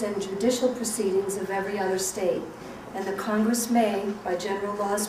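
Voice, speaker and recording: A woman formally reading a legal document aloud at a public event. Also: mid-range voice. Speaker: school curriculum planner reading aloud. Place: reverberant room at a public event. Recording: echoey hall, distant mic, clear noise.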